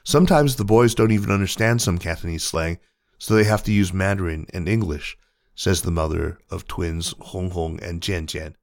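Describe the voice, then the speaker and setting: A man reading a news feature article aloud in a measured, even narration. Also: low voice, no echo, clean studio sound.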